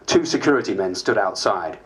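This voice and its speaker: A man's voice: fairly low pitch, lively intonation, fairly quick, a bit bright. A man playing a harried, self-mocking MP, narrating a dramatised diary in a dry, wry way. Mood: wry, weary. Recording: faint background noise.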